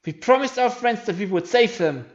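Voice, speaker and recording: A man's voice: very high-pitched, animated, moderate pace, dull audio, a little hiss or hum, voice pushed up. A man voicing an earnest, defiant young hero creature in a video game story, over music. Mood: determined. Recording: music behind the voice.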